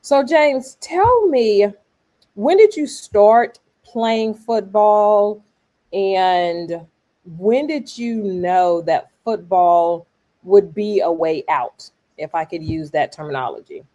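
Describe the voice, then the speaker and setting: A woman's voice: mid-range voice, lively intonation, slow, dark tone. A woman hosting a podcast, thanking her guest and asking questions in a gracious way. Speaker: podcast host. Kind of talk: casual interview.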